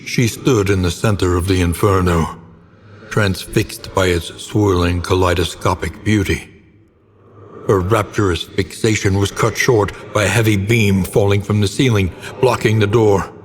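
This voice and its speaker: An actor playing a grave, brooding older narrator of gothic horror tales in a video game, with solemn, theatrical and deliberate phrasing. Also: male voice, sits low, fairly even intonation. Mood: grave, ominous. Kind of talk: dramatic scripted storytelling narration. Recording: long reverb, clear background noise.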